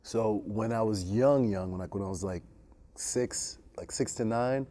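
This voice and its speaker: Casual young man, mellow tone. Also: fairly low pitch, unhurried. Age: young adult. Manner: casual, repetitive, energetic. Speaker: club alumnus and staff. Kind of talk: panel interview. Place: studio stage.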